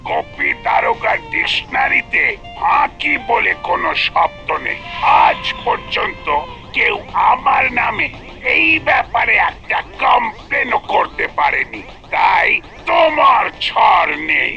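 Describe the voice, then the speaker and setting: A man's voice: sits high, huge pitch swings, slow, vocal fry, shouting, full character voice. A man voicing a pompous, self-important police inspector in a cartoon, with a blustering, emphatic delivery. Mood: pompous, boastful. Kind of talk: exaggerated Bengali cartoon acting. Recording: dull lo-fi, music behind.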